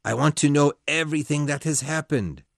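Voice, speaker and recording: A man's voice: a little high, fairly quick, gravelly, no echo, studio quality, pitched above the performer's own voice. A man voicing a passionate young man in an audiobook, pleading and emotional.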